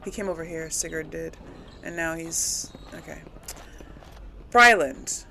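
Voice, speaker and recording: A woman giving casual let's play commentary to her audience, rambling and thinking out loud. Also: sits low, unhurried, vocal fry, a little hiss or hum. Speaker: host of a gaming role-play series.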